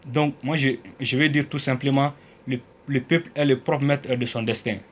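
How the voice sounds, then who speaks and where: A man from Mali giving a political address to his people, with plenty of hesitations, who starts slow and measured and then speaks louder and more heated. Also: medium pitch, fairly even intonation. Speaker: citizen activist.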